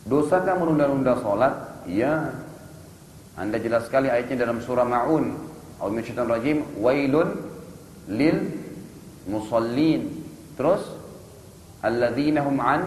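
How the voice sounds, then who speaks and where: A man's voice: medium pitch, slight background noise. Male preacher in an echoey hall. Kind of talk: sermon with Quran recitation.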